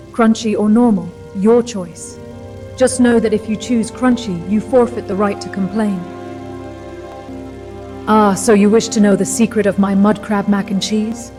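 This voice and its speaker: A woman's voice: medium pitch, a little mellow, distant mic, natural voice. A woman voicing a proud, hardy Nordic village huntress in a video game, speaking formally like an earnest storyteller. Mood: proud, teasing. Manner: formal, storytelling, mock-solemn. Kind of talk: video game character dialogue.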